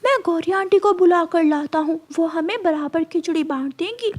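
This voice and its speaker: A woman's voice: very high voice, normal speed, darkish tone. A woman voicing an eager, insistent little boy sparrow in a children's cartoon. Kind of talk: Hindi children's cartoon dialogue.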